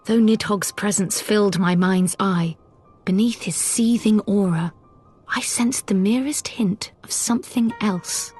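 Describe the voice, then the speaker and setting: A woman's voice: mid-range voice, measured pace, darkish tone, breathy edge, soft background music. A woman voicing a spirited, learned female scholar in a game cutscene, with formal, precise, schoolteacherly speech. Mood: measured, chiding. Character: small learned woman scholar, spirited, gently chiding mentor. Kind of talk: video game cutscene acting.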